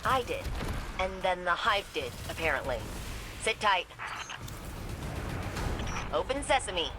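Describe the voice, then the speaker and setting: An actress playing a plucky, quippy young tech expert and pilot in a video game, with a breezy, informal way of talking. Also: female voice, on the low side, sing-song, takes it slowly, over music.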